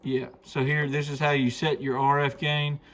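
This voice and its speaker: A man with a slightly high voice walks through a product in casual, off-the-cuff language. He explains things step by step like a shop host showing gear to viewers. Clear background noise sits behind his voice. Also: little pitch movement, moderate pace, fairly bright tone, poor audio. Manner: informal, unscripted, explanatory. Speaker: radio shop host demonstrating a CB radio. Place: radio shop.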